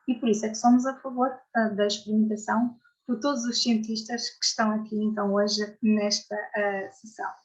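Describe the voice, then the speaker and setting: A woman's voice: mid-range voice, little pitch movement, fairly quick, dull audio. A woman hosting an online community session, filling her sentences with hesitant "então" and "ah". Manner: hesitant, many "então" and "ah" fillers. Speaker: community organizer and host. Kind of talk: opening remarks. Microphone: video call.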